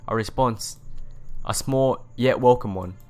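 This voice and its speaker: A man's voice: medium pitch, a little slow, darkish tone, faint music behind. A man narrating a visual novel in an earnest, dramatic read-aloud manner. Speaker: let's play narrator.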